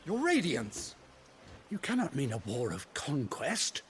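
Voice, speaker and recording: A man's voice: fairly high pitch, very animated, normal speed, a bit bright, slight background noise. A man playing an alarmed, fretful councillor at a fantasy court in a video game, speaking formally.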